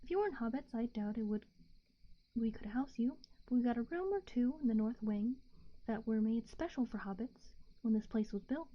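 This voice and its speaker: A woman voicing a bustling, forgetful male innkeeper, in a hurried, chatty whisper, on muffled lo-fi audio. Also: fairly high pitch, lively intonation.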